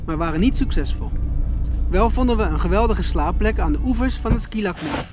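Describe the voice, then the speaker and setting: A man reading a calm, scripted voice-over for a travel video. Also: sits high, a little fast, music in the background. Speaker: narrator.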